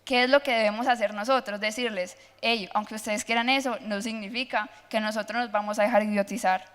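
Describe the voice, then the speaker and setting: A woman's voice: fairly high pitch, fairly even intonation, a little fast, a bit bright. A teenage girl speaking passionately and argumentatively as a panelist at a public forum. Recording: long hall reverb.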